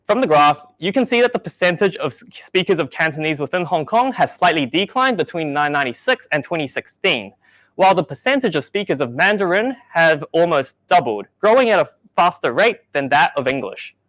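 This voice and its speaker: A young man raised in New Zealand giving a measured, explanatory tech talk at a meetup, with the odd dry joke. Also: on the high side, very animated, fairly bright tone, no room sound, poor audio. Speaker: meetup speaker.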